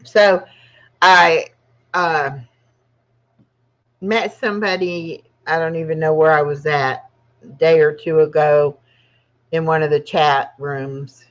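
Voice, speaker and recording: A woman in her sixties talks casually on her own live channel, rambling from story to story and laughing often. Her voice sits a bit low, and the recording is dull and lo-fi. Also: fairly even intonation, slow, long pauses. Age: 60s. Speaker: channel host. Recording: dull lo-fi sound.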